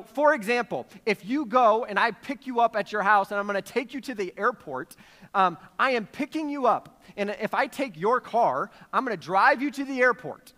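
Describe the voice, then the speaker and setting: A man's voice: high voice, sharp tone. An American man in his thirties preaching as a pastor in a conversational yet emphatic way, slipping into casual storytelling from a church stage. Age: 30s. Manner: conversational, emphatic, checking in with "okay?" and "right?". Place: large church auditorium stage. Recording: long hall reverb.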